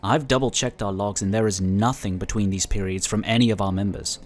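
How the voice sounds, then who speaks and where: An actor playing a calm, courteous inquisitor who explains things in a formal, measured way in a sci-fi audio drama. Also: male voice, on the low side, a little hiss or hum, natural voice. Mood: firm, defensive. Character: calm, courteous psionic inquisitor, proud pacifist.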